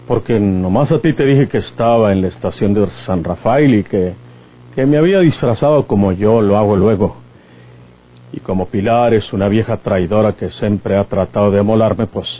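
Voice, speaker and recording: A man's voice: on the low side, warm tone, lightly acted, poor audio. An actor playing a hot-tempered, menacing bandit father in an old radio drama, with a Mexican accent and theatrical rustic speech. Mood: cold, suspicious.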